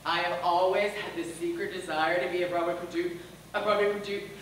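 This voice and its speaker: Neurotic timid accountant: high, anxious, over-polite stage comedy, distant and echoey. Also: male voice, fairly even intonation, a little fast, fairly bright tone. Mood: meek, nervous. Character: timid, neurotic, hysterical male accountant with childish streak. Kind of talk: broad comic stage acting. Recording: echoey theatre, distant mic, noise, audience.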